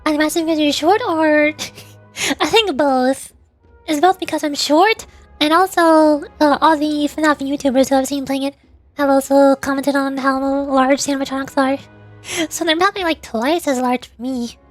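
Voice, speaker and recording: Woman, high-pitched voice, casual gaming stream chatter, playful, rambling, faint music behind. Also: steady tone, moderate pace. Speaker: VTuber livestreamer. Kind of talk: casual livestream gaming chat.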